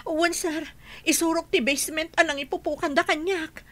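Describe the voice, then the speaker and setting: High-pitched, aggrieved, pleading woman. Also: fairly even intonation, normal speed. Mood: urgent, accusing. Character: aggrieved, insistent woman reporting her captors to police. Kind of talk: radio drama acting in Ilocano.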